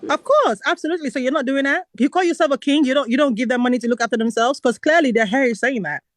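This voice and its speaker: A woman's voice: sits high, fast, no echo. A woman from Nigeria, combative on a live stream call, cutting in and repeating herself. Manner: combative, interrupts, repeats "hold on". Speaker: guest caller on a live stream. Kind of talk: heated confrontation.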